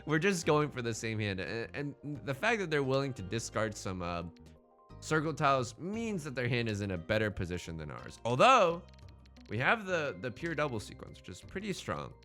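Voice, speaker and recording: Animated man doing casual game commentary. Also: medium pitch, normal speed, soft background music. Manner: chatty, thinking aloud, slangy. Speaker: let's play host.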